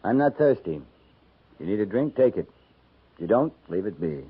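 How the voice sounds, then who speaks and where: An actor playing a laconic, steady middle-aged cavalry captain in a 1950s radio western, speaking in terse, dry lines. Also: male voice, lowish voice, normal speed, slightly rough. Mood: steady, curt. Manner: terse, dry, matter-of-fact. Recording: muffled, noisy 1950s radio recording.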